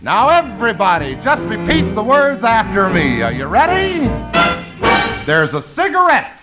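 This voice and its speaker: Male jingle lead, extremely high and animated, 1950s radio style over strong music. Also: measured pace, poor audio. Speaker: commercial singer. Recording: old radio recording.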